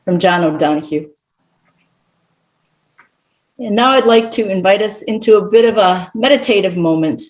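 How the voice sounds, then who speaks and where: A middle-aged woman giving a reflective sermon to a congregation as a guest speaker, with measured, contemplative phrasing. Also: medium pitch, poor audio. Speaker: guest speaker at a church service.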